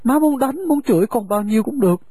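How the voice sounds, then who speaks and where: Guy doing a young husband begging his mom, mellow husky voice, earnest. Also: very high voice, expressive, brisk, heavily acted. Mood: pleading. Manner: pleading, earnest. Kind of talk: radio drama acting.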